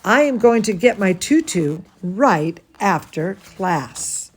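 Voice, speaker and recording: A woman's voice: medium pitch, expressive, slow, slightly rough. Woman reading as an eager, proud little girl ballerina. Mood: delighted.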